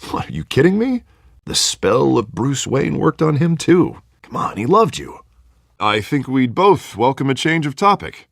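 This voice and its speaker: An American man in his thirties playing an earnest, excitable district attorney and loyal friend in a video game, emphatic and colloquial. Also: mid-range voice, unhurried, vocal fry, no echo, clean studio sound, heavily acted. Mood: friendly.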